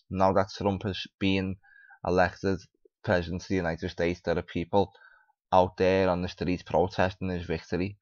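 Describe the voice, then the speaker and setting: Very deep, monotone man on a dull mic. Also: no room sound. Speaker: video host, commentator. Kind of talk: reading an article aloud with off-the-cuff commentary.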